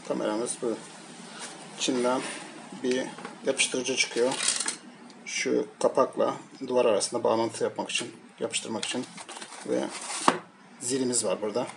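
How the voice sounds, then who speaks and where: A man giving a casual, unscripted hands-on product commentary, explaining piece by piece in a halting way. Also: medium pitch, little pitch movement. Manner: halting, piecemeal explaining while handling items. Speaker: product reviewer. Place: indoors at a table, hands-on tabletop shoot. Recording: noisy amateur home recording. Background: clear background noise.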